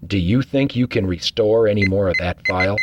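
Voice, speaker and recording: A man playing a steady acting starship captain who gives calm orders with dry humor in a fan audio drama. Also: fairly low pitch, fairly quick, highs cut off, heavy background noise, natural voice.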